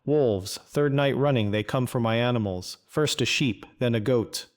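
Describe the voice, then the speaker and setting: Game NPC: weary old male farmer, acted by a man, blunt and dry, mid-pitched, terse lines. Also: moderate pace, close to an everyday voice. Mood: gruff, blunt. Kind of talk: video game quest dialogue.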